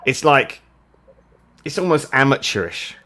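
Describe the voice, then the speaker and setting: A man's voice: medium pitch, expressive, a little slow, sharp tone. A man from the UK, co-hosting a casual live chat and rambling as he repeats words while searching for his point. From United Kingdom. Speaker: co-host.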